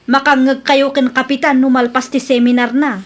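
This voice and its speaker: A woman's voice: sits high, little pitch movement, normal speed, close to an everyday voice. A woman with an Ilocano accent playing a gossipy, opinionated neighbour who chides and advises in a comedy radio drama. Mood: chiding.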